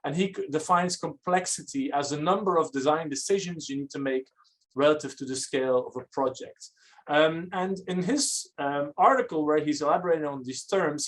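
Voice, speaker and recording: A man from Belgium, giving an academic architecture lecture in a measured, explanatory manner. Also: on the high side.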